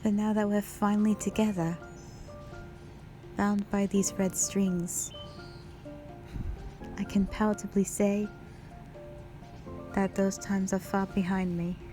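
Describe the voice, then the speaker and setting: A devoted, wistful dragon wife, acted by a woman in a romantic roleplay drama. She speaks slowly and tenderly at a medium female pitch, with old-fashioned, formal phrasing. Music plays clearly underneath her voice. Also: little pitch movement, natural voice. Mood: loving, earnest.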